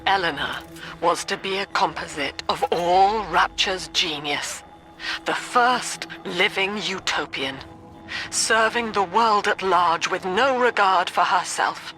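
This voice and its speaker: A cold, controlling British matriarch, a video game villain who preaches in formal, measured phrases. She speaks slowly and with solemn zeal. Prominent music plays behind her. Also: lowish voice, bright, forceful tone, rough recording. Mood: solemn, philosophical. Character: cold, zealous cult-leader mother, controlling and severe.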